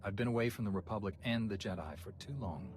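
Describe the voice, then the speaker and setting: A man's voice: lowish voice, fast, darkish tone, a little hiss or hum, natural voice. An actor voicing a stalwart, heroic male Jedi commander in a video game cutscene. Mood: sincere.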